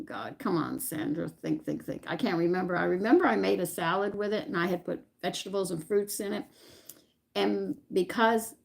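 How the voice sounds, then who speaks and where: A woman's voice: mid-range voice, vocal fry. A woman hosting a home cooking live stream, chatting casually with viewers and rambling aloud as she searches her memory. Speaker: home cooking channel host.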